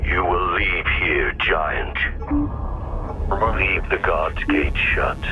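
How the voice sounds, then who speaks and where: Very slow, menacing raider warlord. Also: male voice, sits low. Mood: demanding, ominous. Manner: slow, portentous, declamatory. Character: menacing male raider pack leader, zealous, grandiose, ominous. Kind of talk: theatrical sci-fi game villain. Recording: loud music over the voice.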